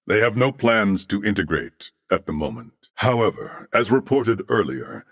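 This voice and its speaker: J.J., deep, courteous machine-merged humanoid. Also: male voice, lively intonation, fairly bright tone, no room sound, studio quality. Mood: calm, reassuring. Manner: formal, measured, earnest diction. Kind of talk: scripted video game character acting.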